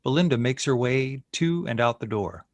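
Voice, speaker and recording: A man's voice: mid-range voice, animated, normal speed, no room sound. A man reading out scene headings and stage directions as narrator of a screenplay.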